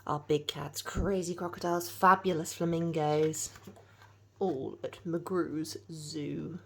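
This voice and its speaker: A woman's voice: low voice, steady tone, slow, gravelly, slight background noise. A woman reading a children's story aloud, like a teacher addressing young listeners.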